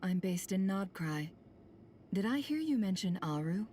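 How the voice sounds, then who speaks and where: A woman playing a sly, self-possessed boss in a video game, cool, dry and deliberate in manner. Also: mid-range voice, very animated, dark tone, a little hiss or hum. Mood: cool, knowing.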